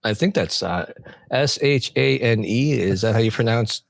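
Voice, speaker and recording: A man's voice: medium pitch, lively intonation, normal speed, creaky, no echo. A man co-hosting a podcast in casual chat, often jumping in with joking remarks. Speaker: podcast co-host.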